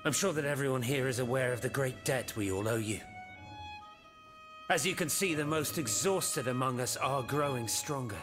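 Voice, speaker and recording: An actor playing a gracious, solemn host of a refuge in a video game, courtly and measured. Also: male voice, mid-range voice, over music, lightly acted. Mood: welcoming. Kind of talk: video game cutscene dialogue.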